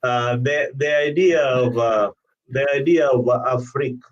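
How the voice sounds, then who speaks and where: A man's voice: medium pitch, steady tone, a little slow. A man leads a political discussion in an emphatic, repetitive way. His voice sits in the middle of the male range and comes through muffled, a little away from the mic, as on a livestream call. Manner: emphatic, repeats phrases. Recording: dull, distant livestream call audio.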